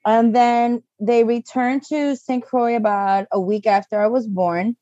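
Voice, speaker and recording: Mellow-voiced woman guest, dull dry sound. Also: on the high side, a little slow, no echo. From U.S. Virgin Islands. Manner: lively storyteller, tags lines with "right?". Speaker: podcast guest. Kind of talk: casual interview chat.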